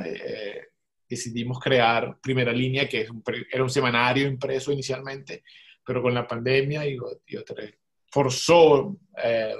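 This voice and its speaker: A man speaks on a video call in a mid-range voice. He works as a journalist and often restarts or repeats words as he explains his project. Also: medium pitch. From Venezuela. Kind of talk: casual self-introduction.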